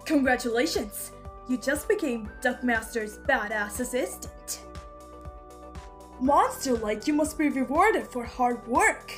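A woman's voice: high voice, lively intonation, slow pace, a bit bright, heavily acted. A woman voicing a brash, cocky, jealous male boss angel in a comic dub, with a swaggering, crude, emphatic delivery. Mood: bossy. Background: background music.

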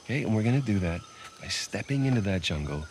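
An American actor playing a stubborn, sardonic middle-aged treasure hunter and older brother in a video game, with a gravelly voice. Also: male voice, on the low side, animated, fast talker, slight background noise. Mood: intense, defiant. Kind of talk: naturalistic cinematic game acting.

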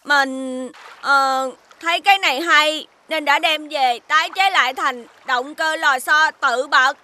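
A woman voicing a bold, restless child inventor in an animated cartoon, brash and expressive. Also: high voice, slow pace, slight background noise, full character voice. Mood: wistful, confident. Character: bold, restless child inventor, easily bored, quick to enthuse.

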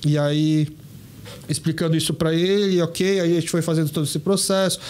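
A man's voice: on the high side, fairly even intonation, normal speed, darkish tone, clean tone. A man in his thirties hosting a podcast, chatting casually with frequent restarts and long, rambling anecdotes. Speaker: podcast host. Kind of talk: casual chat.

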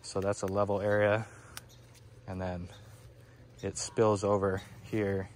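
Low, even-voiced man touring a garden. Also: slow, slight background noise. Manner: relaxed, many fillers like "um, you know". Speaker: forest garden grower giving a tour. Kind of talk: casual walking tour explanation. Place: outdoors in a garden field. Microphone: phone camera held by the speaker.

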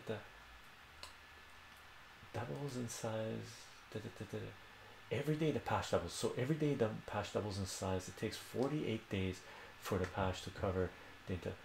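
Middle-aged man with a deep, gravelly voice, talking through a math problem in a relaxed, chatty way and checking in with "right?" after each step. He's recorded on a webcam mic in a small room that rings with echo. A faint hum sits under his voice. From Iran. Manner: chatty, repeats phrases and tags "right?" often. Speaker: math streamer. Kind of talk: casual live math lesson at a whiteboard. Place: small home room with bookshelves.

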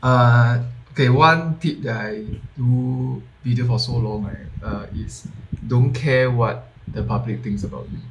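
A man's voice: medium pitch, unhurried, background noise. A young man in his twenties with a Singaporean English accent, a podcast guest talking earnestly over a video call and often repeating words as he thinks. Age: 20s. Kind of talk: casual video-call chat. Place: home room. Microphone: earphone mic on video call.

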